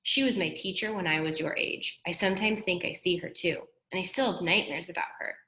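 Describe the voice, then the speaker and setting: A jumpy, good-natured grown man from a school storybook, as a woman reads him. He speaks earnestly and with some drama. The room echoes clearly around the voice. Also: fairly low pitch, a little fast, bright, forceful tone. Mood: haunted.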